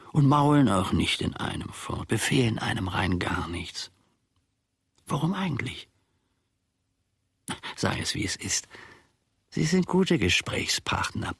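An older male actor playing a grumbling, self-important old servant in a German radio play, musing deliberately to himself with a gravelly, husky voice, often sinking to a murmur. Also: fairly low pitch, fairly bright tone, no echo, studio quality, exaggerated voice. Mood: wry musing.

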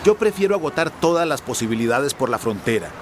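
An actor playing a brash, cynical people smuggler in a radio drama, talking in a cocky, streetwise way. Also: male voice, medium pitch, very animated, fast, natural voice. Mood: irritated. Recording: noisy outdoor street ambience with traffic.

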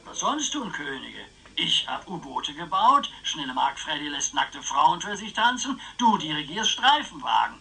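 Eccentric old uncle, high animated voice, sly, theatrical, radio crime drama. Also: male voice, normal speed, gravelly, few pauses, slightly rough, full character voice. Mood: musing, grandiose. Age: older. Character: eccentric, scheming old uncle, grandiose and philosophical.

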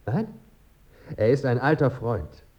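A man's voice: mid-range voice, slow pace, muffled recording. A man acting in a German radio play as a dead writer, a bantering, evasive, pleasure-loving friend who savours his words. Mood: wry, expansive.

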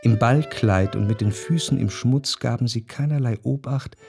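An actor playing a nostalgic gentleman narrator in a literary radio drama, recalling his past in contemplative, lyrical, measured phrases. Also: medium pitch, gravelly, lightly acted. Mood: wistful, contemplative. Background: faint instrumental music.

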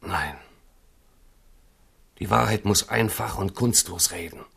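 A man's voice: deep voice, takes it slowly, creaky, a bit bright, husky, heavily acted. An actor playing a young king's loyal, scheming companion, insistent and rhetorical, in a radio play. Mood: persuasive. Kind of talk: 1970s radio play acting.

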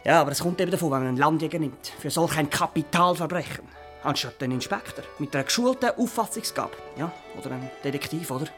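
A man acting in a radio drama as an opinionated, excitable small-town fellow who rambles, repeats himself and fishes for agreement. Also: a little high, fast talker, faint music behind. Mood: animated, indignant. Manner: rambling, repeats words, seeks agreement with tag questions.

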